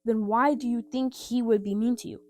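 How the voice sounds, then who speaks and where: A woman voicing a cross, grudging baker's wife with a brisk, questioning manner in a storybook reading. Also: a little high, fairly even intonation, dark tone, faint music behind, close to an everyday voice. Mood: probing.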